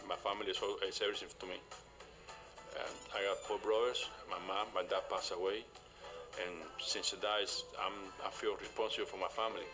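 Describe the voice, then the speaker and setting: A man with a Cuban Spanish accent speaks in a lowish voice, telling his own story in short, plain sentences. Clear music runs beneath him as in a documentary cut. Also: level tone, brisk, low-quality recording. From Cuba. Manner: plain, simple sentences with non-native grammar. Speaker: documentary interviewee. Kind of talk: personal recollection in an interview. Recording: interview with music bed behind.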